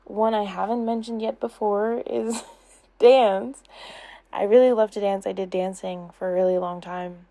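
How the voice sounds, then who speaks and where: A woman's voice: medium pitch, fairly even intonation, measured pace, dark tone. A young woman in a casual question-and-answer chat, talking in an informal, rambling, giggly way. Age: young adult. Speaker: content creator answering subscriber questions.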